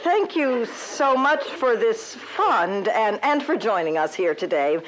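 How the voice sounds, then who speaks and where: An actress playing a polite, formal official in a comedic audio drama, with some background noise. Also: female voice, fairly high pitch, very animated, slow pace, low-quality recording. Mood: politely grateful.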